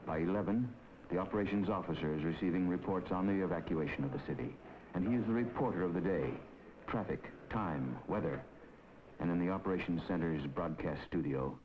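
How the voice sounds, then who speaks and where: A man giving measured, authoritative scripted narration for a 1950s documentary film. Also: deep voice, noisy background. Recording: dull 1950s film soundtrack with music.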